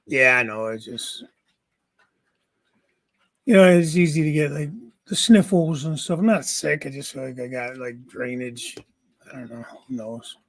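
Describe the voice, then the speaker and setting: A man with a raspy voice hosting a casual livestream from home, greeting viewers by name and chatting. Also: medium pitch, expressive, unhurried, long pauses. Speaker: livestream host.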